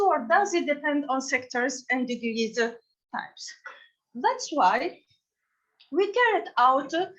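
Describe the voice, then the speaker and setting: High-pitched Tunisian woman presenting, halting delivery. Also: muffled recording. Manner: halting, frequent hesitations mid-phrase. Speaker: conference presenter and session co-chair. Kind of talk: academic conference presentation.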